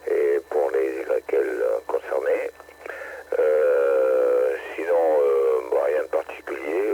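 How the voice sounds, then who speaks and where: Man, ham radio operator, extremely low voice, faint hiss, brisk with fillers. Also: a little mellow, poor audio. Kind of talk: casual on-air radio chat.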